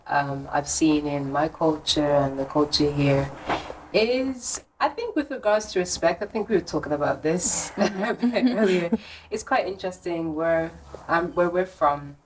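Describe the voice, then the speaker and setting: A young woman of Nigerian background chats on a podcast in a fairly low voice, thoughtful and quick to giggle. A faint hiss of noise sits behind her. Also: distant mic, low-quality recording. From Nigerian family. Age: young adult. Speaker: podcast guest. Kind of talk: casual chat.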